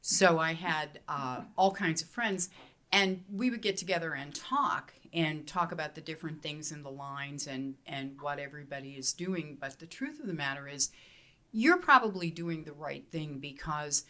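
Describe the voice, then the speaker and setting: Low-voiced woman author explaining calmly. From Pennsylvania. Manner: explanatory, measured, with fillers and restarts. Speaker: romance author. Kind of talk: panel interview answers. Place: hotel meeting room, seated at table. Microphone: handheld mic.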